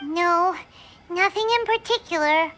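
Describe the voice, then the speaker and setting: A woman voicing a small, excitable, childlike fairy companion in an anime video game, squeaky and bubbly. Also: unhurried. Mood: puzzled. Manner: bubbly, refers to self in third person. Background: game music.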